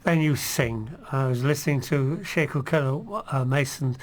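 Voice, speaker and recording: A man's voice: mid-range voice, moderate pace. A man who sings in a choir, speaking as a guest in a radio studio, earnest and encouraging. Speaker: choir member.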